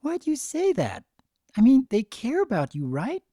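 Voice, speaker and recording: A man voicing a timid, sad little unicorn filly, quiet and hesitant, in a dramatic story reading. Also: extremely high pitch, huge pitch swings, moderate pace, no echo, studio quality, pitched above the performer's own voice, full character voice. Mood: puzzled. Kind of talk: dramatic fan fiction reading.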